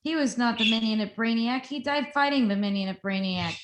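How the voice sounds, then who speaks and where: Casting: woman as earnest, defiant female reporter, higher voice, roomy and slightly noisy home recording. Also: a little fast, close to an everyday voice. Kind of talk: amateur script table read.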